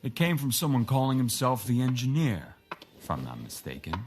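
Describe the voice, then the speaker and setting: A man's voice: medium pitch, huge pitch swings, brisk, a little mellow, slight background noise. A man playing a sardonic, condescending crime boss who speaks with formal politeness in an audio drama. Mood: sardonic, measured. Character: smooth, condescending male gangland crime boss.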